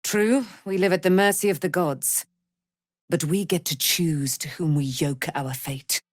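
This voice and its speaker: An actress playing a proud, wrathful immortal warrior, daughter of a moon goddess, declaiming in grand archaic speech for a fantasy game. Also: female voice, lowish voice, a little slow, dry recording, studio quality. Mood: solemn, grave. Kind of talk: theatrical fantasy game voice acting.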